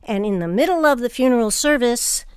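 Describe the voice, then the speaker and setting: A woman's voice: fairly high pitch, lively intonation, a little fast, clean tone, no room sound. A woman hosting a theatre podcast, interviewing guests in a studio discussion. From Transylvania family background.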